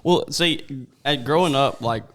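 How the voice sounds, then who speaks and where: A man's voice: mid-range voice, a little slow, fairly bright tone. A man co-hosting a casual interview, chatty and telling stories with many "like"s. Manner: chatty storyteller, frequent "like". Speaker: podcast co-host.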